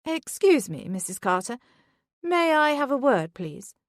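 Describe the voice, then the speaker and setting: A woman's voice: high-pitched, very animated, a little slow, no room sound, studio quality, pitched above the performer's own voice, exaggerated voice. A woman voicing a flustered headmistress. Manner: nervous, hesitant. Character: flustered middle-aged female headmistress.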